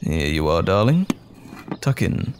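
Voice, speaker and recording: A man playing a loving, caring boyfriend in an intimate comfort audio roleplay, speaking gently and soothingly with affectionate teasing. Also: deep voice, very animated, a little slow, slight background noise, heavily acted. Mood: cheerful, teasing.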